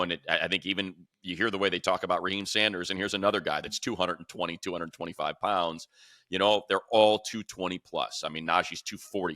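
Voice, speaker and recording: Bright, forceful low-voiced American male host. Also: no echo, studio quality. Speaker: podcast host, sports play-by-play announcer. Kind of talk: casual sports talk.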